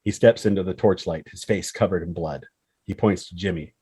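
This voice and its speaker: A man with a husky voice reading dramatic screenplay stage directions as narrator. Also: deep voice, a little fast, mellow.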